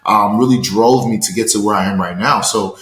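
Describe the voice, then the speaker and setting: The sound is roomy and echoing. A man from Liberia with a fairly low voice speaks as a podcast interviewer. He is casual and affirming with his guest. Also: a little fast, fairly bright tone. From Liberia, West Africa.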